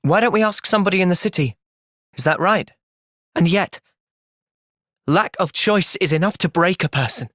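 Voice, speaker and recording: A man playing a gentle, thoughtful young soldier hero in a video game, earnest and reflective in manner. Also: high-pitched, moderate pace, pauses often, dry recording, clean studio sound. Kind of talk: video game cutscene voice acting.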